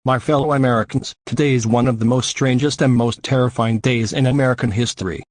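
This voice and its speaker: A man's voice: mid-range voice, quick pace, a little mellow, dry recording, natural voice. Man playing solemn US president, dry studio sound. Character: solemn male US president addressing the nation. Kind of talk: scripted presidential address.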